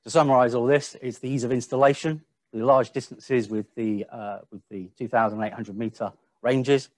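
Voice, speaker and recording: A man giving a webinar-style product presentation, speaking a little haltingly and repeating the odd word. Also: mid-range voice, fairly even intonation, no echo.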